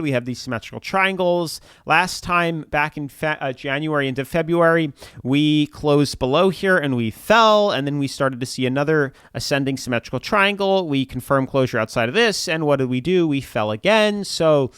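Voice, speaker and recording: A man's voice: on the high side, fairly bright tone, clear, resonant tone, no room sound, studio quality. A man hosting a crypto channel, talking through market charts in casual commentary, a little congested from a cold, chatty and repetitive. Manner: chatty, repeats filler "like", pushes viewers to subscribe.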